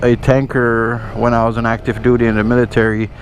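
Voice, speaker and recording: A man's voice: medium pitch, completely flat delivery, fairly quick. A man telling a story in a casual, conversational way, swearing freely and often checking in with the listener, as he vlogs from a motorcycle. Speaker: motovlogger and army veteran telling stories. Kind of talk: casual rambling storytelling to camera while riding. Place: outdoors, riding a motorcycle in traffic. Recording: engine and traffic noise behind voice. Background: motorbike engine and road traffic.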